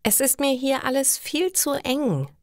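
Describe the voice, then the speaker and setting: Lady doing a young overwhelmed mom in a storybook, emphatic, slow, dry sound. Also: high-pitched, expressive, no room sound, pitched above the performer's own voice. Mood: fretful. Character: overwhelmed young new mother in a learner story.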